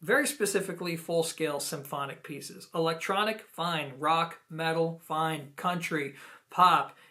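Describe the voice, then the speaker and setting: A man's voice: a little high, measured pace, bright, forceful tone. A man hosting a product review, explaining things conversationally to viewers with emphatic, personal opinions. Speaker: audio gear reviewer and host. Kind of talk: video product review, explanatory talk to camera.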